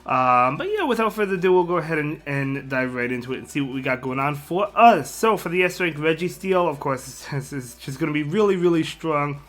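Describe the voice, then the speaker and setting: Hoarse, bright-voiced male gaming host. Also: fairly high pitch, lively intonation, a little fast, few pauses. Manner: rambling, repeats words, frequent fillers and chuckles. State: sick, partly lost voice. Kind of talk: casual game strategy commentary.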